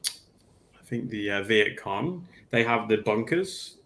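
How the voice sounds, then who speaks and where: A man chatting casually as a panelist, with a halting delivery. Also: fairly low pitch, lively intonation, creaky, a bit bright, slight background noise. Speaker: livestream panelist. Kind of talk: casual chat.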